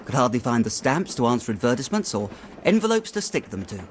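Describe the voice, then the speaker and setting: An actor playing an earnest, worried young city clerk in a radio drama, chatty and slangy but deferential. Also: male voice, medium pitch, big pitch swings, quick pace, a little hiss or hum, close to an everyday voice. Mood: earnest, downcast. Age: young adult.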